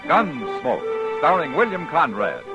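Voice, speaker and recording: A man's voice: on the high side, wildly swooping pitch, takes it slowly, over loud music. An American man announcing and advertising on 1950s radio in an emphatic, polished style. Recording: vintage radio broadcast recording.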